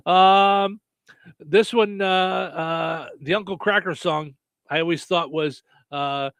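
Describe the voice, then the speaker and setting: A man's voice: high-pitched, slow, gravelly, clear, resonant tone, no echo. A man hosting a radio show, reading listener comments aloud and chatting playfully with his co-host. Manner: lively, playful, lots of affirming murmurs. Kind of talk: casual chat.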